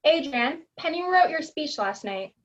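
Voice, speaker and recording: Teenage girl acting no-nonsense stage manager, high voice, patient, comedy play, dull lo-fi recording. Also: animated, a little slow. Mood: matter-of-fact. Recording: dull lo-fi video-call audio.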